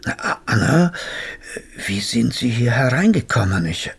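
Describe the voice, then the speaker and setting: A man's voice: medium pitch, sing-song, unhurried, creaky, breathy edge, full character voice. A man playing a pompous, smitten psychotherapist of a bygone era in a German radio play, speaking in flowery, pedantic, formal phrases. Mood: formal, puzzled. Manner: flowery, pedantic, old-fashioned wording. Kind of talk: German radio play acting, narrating and in dialogue.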